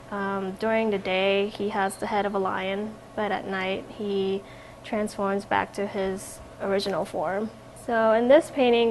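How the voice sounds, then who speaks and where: A woman's voice: medium pitch, rough recording. Young woman, reflective, over background noise. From from Vietnam, raised in America from age four. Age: young adult. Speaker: art student and painter. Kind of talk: informal spoken artist profile.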